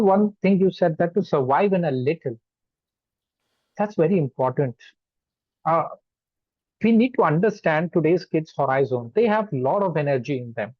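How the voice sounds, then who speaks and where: A man's voice: fairly high pitch, dry recording, highs cut off. A man from Punjab with an Indian English accent, a psychologist giving earnest, wordy interview answers that he builds up in lists. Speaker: psychologist and guest.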